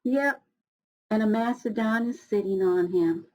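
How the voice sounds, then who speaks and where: A woman's voice: a little high, a little slow, dull audio, a little hiss or hum. An American woman reading a picture book aloud to young students, chatting to them, explaining words and asking questions as she goes. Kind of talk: children's read-aloud with chatty asides.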